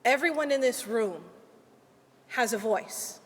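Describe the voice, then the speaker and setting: A woman attorney delivers a prepared speech at a ceremony, earnest and deliberate, with measured pauses. Her voice sits fairly high. It rings out in a large hall with a long reverb tail. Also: lively intonation. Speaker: legal aid attorney.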